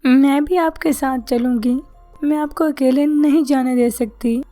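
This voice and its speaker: A woman's voice: high voice, steady tone, unhurried, dark tone, no room sound, faint music behind. A woman voicing an anxious mother in a cartoon story who stammers with fear. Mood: worried. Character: worried mother of a missing boy.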